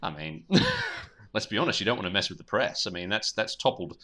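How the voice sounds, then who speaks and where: A man's voice: lowish voice, wildly swooping pitch, fairly quick, creaky, bright, forceful tone. A young man hosting a podcast in casual, bantering chat. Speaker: podcast host.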